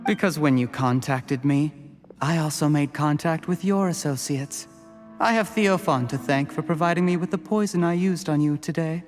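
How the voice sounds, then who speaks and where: A fairly high-pitched male villain played by a voice actor, cold and scheming, in a video game. He speaks in measured, theatrical lines with mocking politeness, and music plays underneath. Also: close to an everyday voice. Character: cold, calculating official turned smug poisoner, gloating villain. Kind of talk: scripted video game voice acting.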